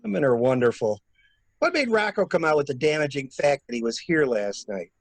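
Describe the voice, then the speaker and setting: An actor plays a wry, skeptical man in an amateur mystery drama. His voice is husky with lively, sardonic pitch swings, and the sound is dry. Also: a little fast, dry recording. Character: wry, skeptical man confiding with a troubled woman. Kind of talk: amateur radio-play acting.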